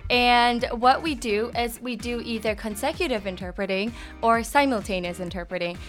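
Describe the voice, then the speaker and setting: A young woman in her twenties with an American accent, speaking as a radio guest. She explains things clearly and often pauses on "um". Faint music sits behind her voice. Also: fairly high pitch. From Taiwan. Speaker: guest, interpreter.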